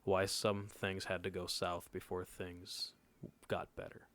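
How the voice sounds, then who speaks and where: A man's voice: sits low, completely flat delivery, measured pace, a bit bright. A nineteen-year-old man telling a personal story in casual podcast chat, laid-back and often checking in with his listeners. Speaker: podcast co-host.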